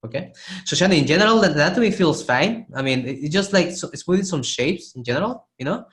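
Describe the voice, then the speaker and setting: A man teaching a live online art webinar, hoarse and losing his voice, who keeps clearing his throat and gives casual, encouraging feedback with frequent restarts. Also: fairly high pitch, expressive, fast, a bit bright. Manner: casual, encouraging, restarts phrases while demonstrating. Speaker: art instructor giving feedback. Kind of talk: live webinar critique of 3D sculpts.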